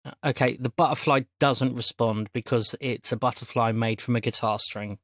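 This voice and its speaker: A man's voice: medium pitch, fairly even intonation, moderate pace, a bit bright, dry recording, clean studio sound. A man narrating a tabletop role-playing game as game master.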